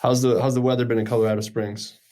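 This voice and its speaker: A man's voice: mid-range voice, flat delivery, fast, mellow. A man hosting a podcast interview, asking loose, friendly questions with fillers. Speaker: podcast host. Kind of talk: casual interview.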